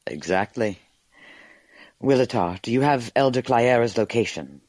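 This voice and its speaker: A man playing a large, good-natured alien comrade in an audio drama, steady and teasing. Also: mid-range voice, measured pace, no room sound. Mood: solemn, hopeful.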